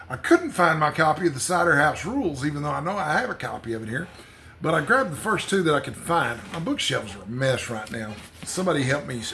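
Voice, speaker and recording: A man's voice: on the high side, fairly bright tone, a little hiss or hum. A man hosting a book channel, talking casually and conversationally without a script, in a rambling way. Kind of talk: casual unscripted book vlog.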